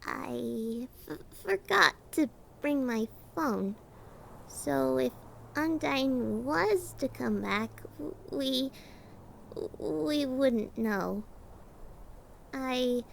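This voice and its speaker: A woman's voice: sits high, vocal fry, slight background noise, full character voice. A woman voicing an anxious, timid monster scientist in an audio drama, apologetic and stammering heavily. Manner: nervous, heavy stammer.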